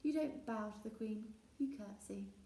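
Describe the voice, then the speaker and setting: A woman's voice: on the high side, unhurried, mellow, natural voice. A woman reading a children's storybook, voicing a mischievous young boy with a taunting, bossy, mock-authoritative manner. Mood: bossy, scheming. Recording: roomy echo, distant mic.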